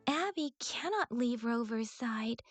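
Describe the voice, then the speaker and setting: High-voiced, polite young game companion. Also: female voice, animated, soft background music. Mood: earnest, reassuring. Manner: measured, courteous. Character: polite, thoughtful, earnest young woman companion. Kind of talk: video game dialogue acting.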